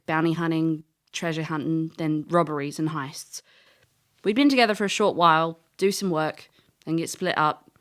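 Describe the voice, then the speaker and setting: Woman playing a world-weary elf ex-thief, lowish female voice, dry, blunt, matter-of-fact, fantasy audio drama. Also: a bit bright. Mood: downcast, regretful.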